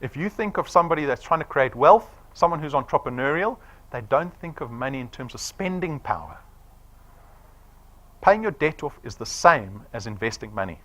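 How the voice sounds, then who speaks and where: A man's voice: mid-range voice, moderate pace. A man in his forties giving a persuasive sales presentation to a live audience, often checking in with "right?". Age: 40s. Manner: animated, persuasive, quizzing the room with "right?".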